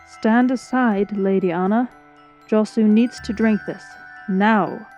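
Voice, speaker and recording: A woman's voice: medium pitch, takes it slowly, a little mellow, natural voice. A woman playing a proud, defiant young apprentice sorceress in a dramatized fantasy story, cool and determined. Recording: dull microphone, music behind.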